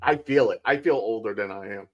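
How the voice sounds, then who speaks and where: A man in his late thirties in casual chat, relaxed and self-deprecating. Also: fairly low pitch, fairly quick. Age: late 30s. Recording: dull lo-fi sound.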